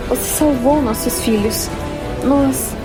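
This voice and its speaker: A woman's voice: high voice, takes it slowly. A woman playing a grateful, emotional tiefling mother in an audio drama. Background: music.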